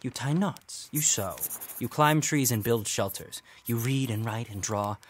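An actor playing a gruff, mocking deckhand in a Regency audio drama, sarcastic and needling. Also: male voice, mid-range voice, measured pace, slight background noise, close to an everyday voice. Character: gruff, resentful, mocking male ship's deckhand.

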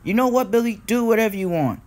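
A man's voice: sits high, quick pace, a little mellow, pitched above the performer's own voice, close to an everyday voice. A man voicing a cheery, patient young tank engine in a children's story, pleading and scolding. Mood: fed up.

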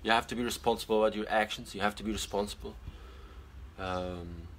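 A young man who grew up speaking Arabic talks casually into a phone held at arm's length in a small bedroom. His voice sits a little low, and his earnest advice comes out halting, full of "uh" and "um". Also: fairly even intonation, a bit bright, a little hiss or hum. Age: young adult. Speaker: pageant titleholder on a live stream. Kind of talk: casual live-stream chat reading viewer comments. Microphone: phone camera at arm's length.

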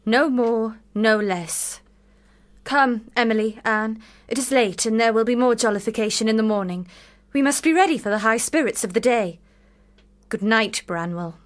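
An actress playing a stern, commanding, sardonic Victorian elder sister who preaches and cross-examines, in a period radio drama. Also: female voice, fairly high pitch, normal speed, lightly acted. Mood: brisk. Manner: preachy, exacting, rhetorical questions.

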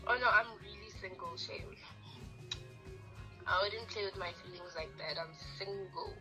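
A young woman in a casual phone conversation, heard through a phone speaker, hedging but gently firm. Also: mid-range voice, moderate pace, over music, rough recording. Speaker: caller on the other end of a phone call. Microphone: phone speaker picked up by camera.

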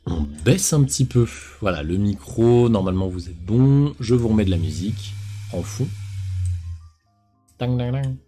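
A man's voice: fairly low pitch, animated, normal speed, pauses often, warm tone, faint music behind. A man in his thirties from south-east France with a faint southern accent, chatting casually and rambling with many fillers on a live cooking stream into a headset mic. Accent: southern French, faint. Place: home kitchen and small room.

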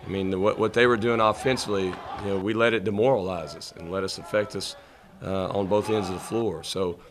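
A middle-aged man, the head coach, answering questions at a postgame press conference with a table mic, measured and halting, repeating words as he thinks. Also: fairly low pitch, fairly even intonation, noisy background.

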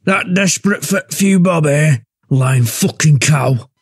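A man's voice: fairly high pitch, measured pace, breathy edge, dry recording, clean studio sound. An actor playing an angry, bitter, accusing father in an audiobook drama. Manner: fierce, accusing.

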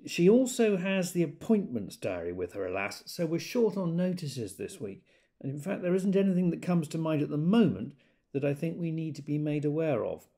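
A mild-mannered Quaker man giving notices in an audio drama. His voice is mellow and creaky, with lively intonation. He rambles politely and keeps everything modest and understated. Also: a little high, moderate pace. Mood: genial, halting. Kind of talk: audio drama monologue, addressing a meeting.

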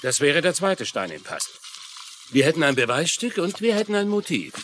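Doubting police lieutenant in a tv crime dub, argues matter of fact, lively pitch, some noise. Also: male voice, moderate pace. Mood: sceptical. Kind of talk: German-dubbed TV crime dialogue.

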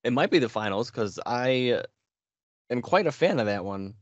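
A man's voice: medium pitch, lively intonation, moderate pace, no echo, highs cut off. A man in his twenties co-hosting a gaming podcast in a casual, laid-back way. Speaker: podcast co-host.

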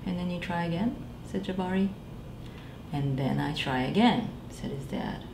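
A woman's voice: lowish voice, moderate pace, vocal fry. A woman in her thirties, a librarian, reading a picture book aloud to children and doing the characters' voices. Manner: animated, voices characters, addresses children directly. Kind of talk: children's storytime read-aloud. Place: small library room indoors. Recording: echoey room with faint background noise.

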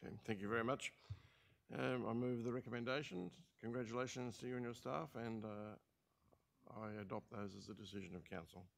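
An older Australian man chairing a council meeting as administrator, speaking in a halting way with many fillers and restarts, into a desk mic in a large council chamber. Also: mid-range voice, fairly even intonation, gravelly. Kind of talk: formal meeting remarks.

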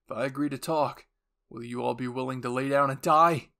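A man voicing a ruthless, enraged young enemy warrior, yelling cold declarations in an anime fan roleplay. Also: mid-range voice, steady tone, fairly quick, gravelly. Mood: cold fury.

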